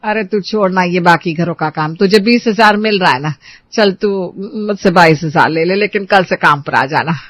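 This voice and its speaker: A woman's voice: fairly low pitch, normal speed, a bit bright, dry recording. A woman playing a bossy, exasperated mistress of a household in a story, complaining emphatically. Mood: placating, insistent.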